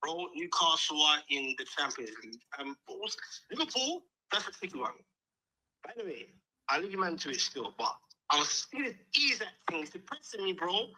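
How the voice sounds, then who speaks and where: A man's voice: a little high, very animated, brisk, pushed and bright, poor audio. A man from Dublin calling in to a football show, speaking in emphatic, rambling, slangy casual chat. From Dublin, Ireland. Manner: emphatic, rambling, punctuates with "bro". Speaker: caller, Newcastle fan.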